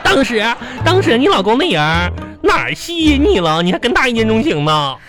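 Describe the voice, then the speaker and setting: Shameless man-hungry woman, high voice, swinging melodramatic delivery, Northeastern Chinese accent, radio comedy, music behind. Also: very animated, brisk. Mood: mocking. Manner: exaggerated, whiny, melodramatic. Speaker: comedy radio show performer. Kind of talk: live comedy radio drama acting.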